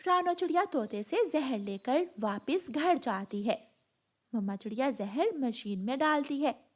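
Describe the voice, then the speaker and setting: A woman's voice: high-pitched, animated, unhurried. A woman narrating a children's cartoon story as a scripted storyteller.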